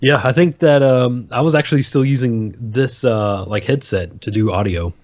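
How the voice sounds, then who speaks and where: A man co-hosting a podcast in casual chat, laid-back and quick to laugh. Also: medium pitch, muffled recording, background noise. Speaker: podcast co-host.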